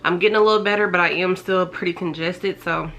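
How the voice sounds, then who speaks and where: A woman in her thirties, a little hoarse and congested from a cold, chatting on camera as she reviews body creams, filmed in a small bedroom on a compact camera's built-in mic. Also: on the low side, fairly even intonation, fast, a bit bright, faint music behind. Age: 30s. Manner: chatty, enthusiastic about scents. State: congested and slightly raspy from a cold. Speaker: beauty and fragrance vlogger. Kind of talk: casual product review to camera. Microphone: compact camera's built-in mic at close range.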